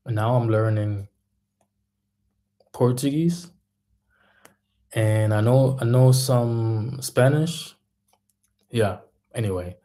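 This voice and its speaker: Slow, mellow man. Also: mid-range voice. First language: German. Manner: thoughtful, searching for words. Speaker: mindset coach and music artist. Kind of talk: casual reflective monologue.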